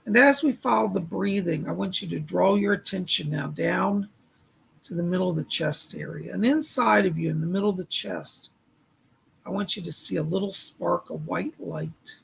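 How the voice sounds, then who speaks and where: A man with a raspy, tired voice, speaking to viewers as the host of a psychic medium live stream. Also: high-pitched, normal speed, a bit bright, a little hiss or hum. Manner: chatty, exclaiming, addresses viewers by name.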